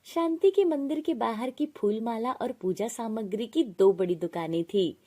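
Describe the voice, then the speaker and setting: A woman's voice: on the high side, a little slow, dark tone. A woman reading a scripted moral story as its narrator.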